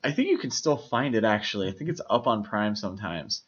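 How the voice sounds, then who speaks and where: A man's voice: fairly low pitch, animated, fairly quick, slightly rough. Man co-hosting a podcast, dull lo-fi sound. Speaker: podcast co-host. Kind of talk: casual film discussion.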